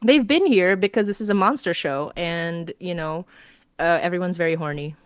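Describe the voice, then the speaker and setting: A woman's voice: fairly low pitch, moderate pace, smooth, dry recording, clean studio sound. A woman on a livestream chatting casually about monsters and comics, laughing often and using lots of fillers. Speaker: podcast host and guest writer. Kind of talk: casual stream chat.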